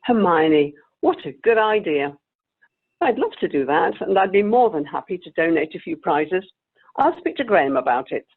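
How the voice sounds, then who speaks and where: A woman playing a wistful, genteel, sweetly vain elderly actress in an amateur radio play, with a creaky voice. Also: lowish voice, very animated, moderate pace, exaggerated voice. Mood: gracious. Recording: tinny, phone-like video call audio.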